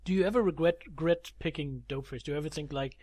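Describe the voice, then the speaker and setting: Dry-humored man with a fairly high voice. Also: quick pace, a little mellow, no echo. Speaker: podcast co-host. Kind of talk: casual chat.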